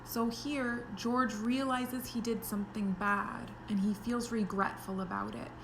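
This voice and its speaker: A woman's voice: a little high, steady tone, a little slow, background noise. A woman teaching pre-kindergarten children, reading a story aloud expressively and explaining it in simple words.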